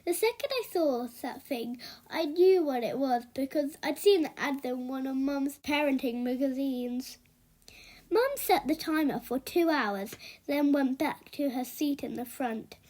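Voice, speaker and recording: Lady doing an expressive kids book read-aloud, pretty high voice. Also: a little slow. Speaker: storyteller reading a children's book aloud.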